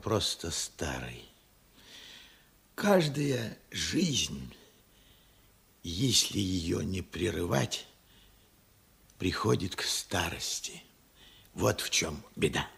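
An actor playing a weary, forgetful old man of seventy-eight in a radio play, halting, wistful and husky. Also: low voice, big pitch swings, a bit bright, exaggerated voice. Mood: resigned. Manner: halting, musing, swinging from murmurs to outbursts. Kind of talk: Soviet radio play acting.

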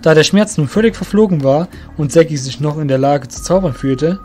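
A man's voice: a little high, brisk, warm tone. A man narrating a scripted fantasy story as the narrator of an audio drama. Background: music.

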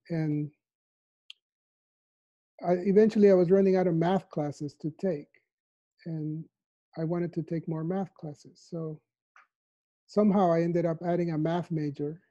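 A man in his fifties from El Salvador, answering interview questions thoughtfully from his living room on a video call, with a lapel mic. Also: on the high side. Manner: soft-spoken, thoughtful, with frequent fillers. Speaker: mathematician interviewee. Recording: dull lo-fi video call audio.

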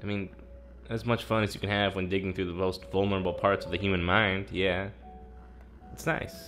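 Wry, deep-voiced young man. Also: flat delivery, fairly bright tone, faint music behind. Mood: agitated, probing. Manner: casual, halting, wry. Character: sardonic, teasing, working-class young man, guarded but warm-hearted. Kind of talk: naturalistic audio drama dialogue.

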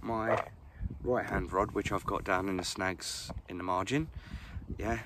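A man's voice: sits low, fairly even intonation, unhurried, background noise. A man in his forties with an English accent, chatting casually to camera by a lake, with lots of ums and restarts. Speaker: fishing vlogger. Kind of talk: casual outdoor vlog. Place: outdoors by a lake. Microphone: camera on a stand, sitting close.

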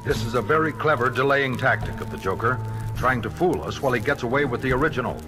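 Male actor as stern crimefighting hero, authoritative and clipped, mid-pitched, vintage story-record drama with prominent music. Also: quick pace, close to an everyday voice. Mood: serious, formal. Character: adult male superhero crimefighter, commanding and grave. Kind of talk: radio-drama acting on a children's story record.

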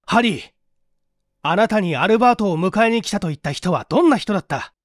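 A man's voice: high voice, animated, fairly quick, no room sound, studio quality, voice pushed up. A man playing an earnest schoolteacher in a read-aloud story, asking insistent, probing questions. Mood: stern.

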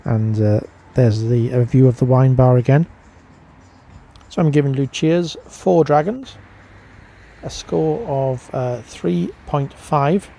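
A man talks through a casual vlog review. His voice is mellow and relaxed, sitting at an ordinary middle pitch. He narrates informally, as a reviewer sharing his own opinions. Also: slow pace, gravelly. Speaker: vlogger, restaurant reviewer.

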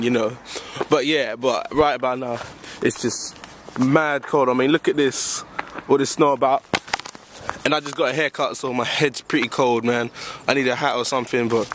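A man's voice: medium pitch, noisy background. A young man, vlogging casually and joking around, holding the camera at arm's length. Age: young adult. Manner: joking, teasing, rambling. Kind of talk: casual vlog chat. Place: outdoors in the cold, then a church hall. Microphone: handheld camera at arm's length.

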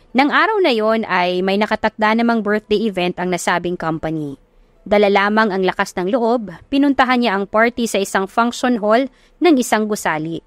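A woman's voice: medium pitch, no room sound. A woman giving scripted audiobook narration of a romance story as its narrator.

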